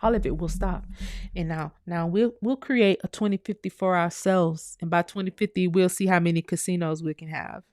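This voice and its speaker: A woman's voice: fairly low pitch, steady tone, moderate pace, a little mellow, no room sound, studio quality. A woman hosting her own commentary channel, speaking in an impassioned, preachy monologue that repeats phrases and swears freely.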